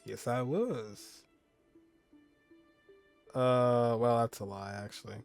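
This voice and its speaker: A man's voice: medium pitch, takes it slowly, vocal fry. A man giving casual, joking commentary as a streamer, reacting aloud while he plays a video game. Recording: faint game music behind. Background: game music.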